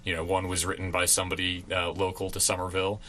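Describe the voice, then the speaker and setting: A man's voice: low voice, completely flat delivery, quick pace, fairly bright tone, slight background noise. A man being interviewed as a radio theater performer, chatting casually in a rambling, conversational way. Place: college radio station.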